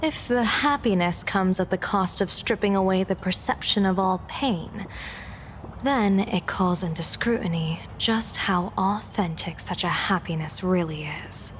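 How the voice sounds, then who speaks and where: An actress playing a cool, philosophical older-sister type in a video game, measured, grave and softly breathy. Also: female voice, medium pitch, slight background noise, natural voice. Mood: detached, thoughtful.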